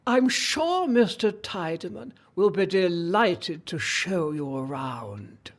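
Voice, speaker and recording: An actor playing an official who greets alien visitors with drawn-out, unctuous politeness in an audio comedy. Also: mid-range voice, expressive. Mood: ingratiating.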